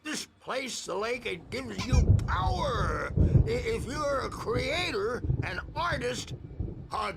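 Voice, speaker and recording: An actor playing a crazy old rocker in a video game, rambling and conspiratorial in a cracked old voice, with halting, theatrical delivery. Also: male voice, very high-pitched, lively intonation, creaky, heavy background noise, exaggerated voice. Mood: weary, muttering. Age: older. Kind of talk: video game character acting.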